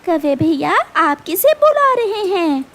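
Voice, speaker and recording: A woman's voice: extremely high pitch, sing-song, takes it slowly, fairly bright tone, pitched above the performer's own voice, heavily acted. A woman voicing a small, protective mother bird in a cartoon, plaintive, urgent and pleading. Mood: polite. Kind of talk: Hindi cartoon character dialogue.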